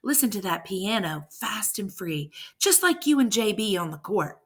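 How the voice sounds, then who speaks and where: A woman's voice: medium pitch, sing-song, moderate pace, slightly rough. A woman voicing an enthusiastic, boastful dad who talks in playful old-school slang, in a storybook reading. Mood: playful, emphatic. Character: jazz-loving dad, hip, boastful, enthusiastic older-generation man.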